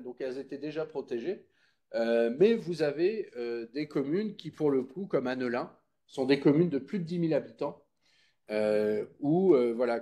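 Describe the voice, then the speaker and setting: A man's voice: mid-range voice, mellow. A man explaining things at a public meeting in an informal, didactic way, checking that listeners follow. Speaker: presenter at a public consultation meeting. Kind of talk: explanatory public presentation with question-taking.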